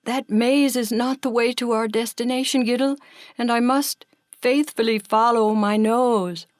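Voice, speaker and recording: A patient female animal guide from a children's story, played by a woman. She speaks slowly and formally, gently admonishing, in a sensible and measured way. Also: on the high side, steady tone, no room sound, studio quality, voice pushed up, lightly acted. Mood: firm.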